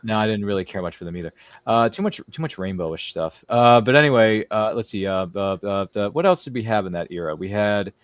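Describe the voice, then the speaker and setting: A man's voice: fairly low pitch, animated, a little fast, clear, resonant tone, no echo. A man hosting a casual interview, chatting in a rambling way and slipping into quotes and little impressions. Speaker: show host.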